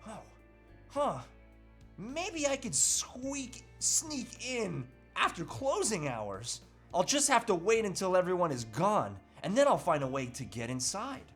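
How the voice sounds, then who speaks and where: A man's voice: high voice, wildly swooping pitch, unhurried, a bit bright, breathy edge, soft background music, heavily acted. A man playing a determined, earnest and melodramatic husband on a crusade in an amateur comedy table read. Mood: scheming, determined.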